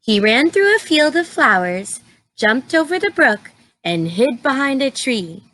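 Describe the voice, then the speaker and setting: Bright, slow-paced woman reading to children. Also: high voice, low-quality recording. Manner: expressive, deliberate, explains and asks simple questions. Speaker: teacher reading aloud to young children. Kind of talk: children's storybook read-aloud with asides and questions to listeners.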